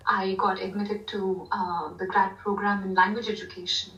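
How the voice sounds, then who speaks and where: A woman's voice: mid-range voice, flat delivery, normal speed, fairly bright tone, highs cut off. A woman from India, a university Hindi lecturer, answering interview questions thoughtfully with many "you know" fillers. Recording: echoey room, distant from mic.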